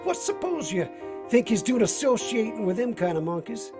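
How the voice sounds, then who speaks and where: Casting: cocky drawler, folksy radio-play troublemaker, very animated delivery, some music behind. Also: male voice, high-pitched, normal speed. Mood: folksy, sly. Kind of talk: 1940s radio acting.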